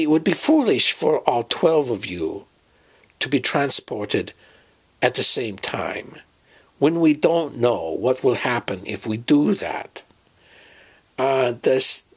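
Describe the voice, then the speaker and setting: A man playing a fussy professor and inventor who speaks in a halting, hedging way, in an amateur audio drama. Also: medium pitch, breathy edge, exaggerated voice.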